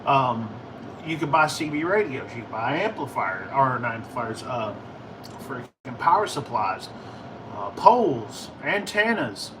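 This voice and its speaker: An American man chatting casually to livestream viewers in a rambling, halting, informal way. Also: medium pitch, very animated, recorded from a distance, background noise. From United States. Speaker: livestream host, ham and CB radio hobbyist. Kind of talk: casual livestream chat answering viewer questions.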